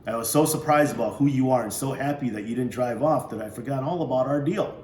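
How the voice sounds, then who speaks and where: A man's voice: mid-range voice, fast, a little mellow, natural voice. Guy putting on a jokey old grandpa driver, folksy and wry, roomy echo, bit of hiss. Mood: jovial. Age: older. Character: teasing older grandfather driver, jovial.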